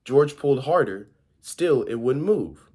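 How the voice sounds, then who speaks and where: A man's voice: medium pitch, lively intonation, unhurried, darkish tone, breathy edge. A man reading a children's story aloud as a volunteer, informal and occasionally stumbling over his words.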